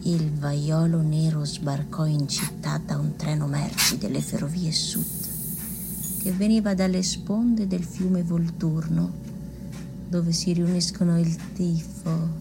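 Grave female narrator, low gravelly voice, really slow and solemn, noisy recording. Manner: deliberate, solemn. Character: grave, ominous female narrator of a plague tale. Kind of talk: dramatic scripted narration. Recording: clearly noisy ambience.

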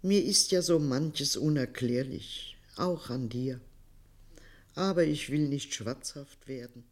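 An older woman in a 1960s German radio play playing an outspoken, reproachful grandmother, emotional and halting with sudden swells. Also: very deep voice, exaggerated voice. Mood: indignant, weary. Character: old general's widow, agitated, reproachful, outspoken grandmother.

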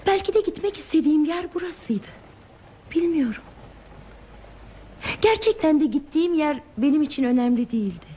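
A woman's voice: high voice, lively intonation, moderate pace, long pauses, warm tone. An actress playing an aimless, enigmatic woman traveller, wistful, evasive and detached, in a theatrical radio play style. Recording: dull, lo-fi radio play tape, hissy.

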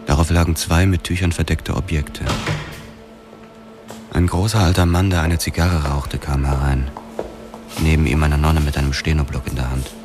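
An actor narrating as a young male detective, grave and measured, in a radio drama. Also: very deep voice, level tone, music in the background. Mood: grim.

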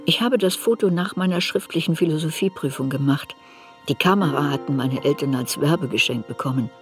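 An actress playing a reflective woman looking back on a troubled youth, narrating a radio drama in a measured, introspective way. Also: sits low, close to an everyday voice. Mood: pensive. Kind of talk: literary radio drama narration, first-person memoir. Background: music.

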